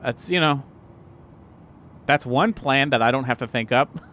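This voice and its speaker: A man's voice: mid-range voice, a little slow, bright, forceful tone. A man chatting casually as a player in a tabletop role-playing game, working out plans. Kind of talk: casual game planning chat.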